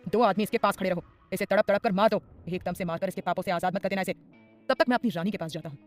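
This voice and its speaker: A woman's voice: medium pitch, lively intonation, rapid-fire, faint music behind, lightly acted. A woman voicing a possessive, threatening male mafia boss in an audio story, her delivery intense and menacing. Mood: enraged.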